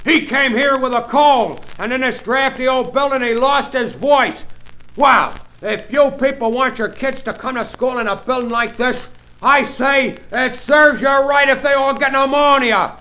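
A man's voice: very high voice, steady tone, measured pace, sharp tone, heavily acted, poor audio. An actor playing a nervous, stammering small-town young man in a 1940s radio comedy. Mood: fiery. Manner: stammering, flustered.

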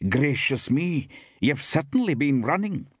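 An inquisitive, guarded village shopwoman, as a male narrator plays her. The voice is lifted well above his own and husky at the edges. She draws her words out deliberately and keeps a cool reserve. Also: fairly high pitch, animated, dry recording, studio quality, pitched above the performer's own voice. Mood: surprised.

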